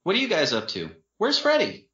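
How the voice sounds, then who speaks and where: A man voicing a brash, fiery young woman, a scolding, protective sister with rapid-fire, sweary delivery in an audiobook story. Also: high voice, wildly swooping pitch, sharp tone, roomy sound, highs cut off, pitched above the performer's own voice, exaggerated voice. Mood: cheerful, caring.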